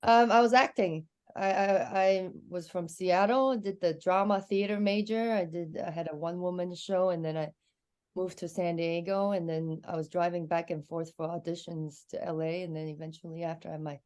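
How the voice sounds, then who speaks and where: A woman's voice: fairly low pitch, normal speed. A middle-aged woman from Hong Kong, speaking English as a second language with a Hong Kong Cantonese accent, telling candid stories as a guest on a comedy podcast. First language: Chinese. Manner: candid, animated storytelling. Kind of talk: casual podcast chat.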